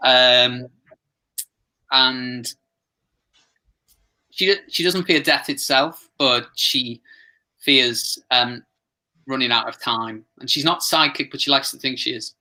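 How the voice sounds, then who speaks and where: Very bright-voiced man, halting delivery. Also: mid-range voice. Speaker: workshop participant. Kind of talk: informal sharing of a character sketch.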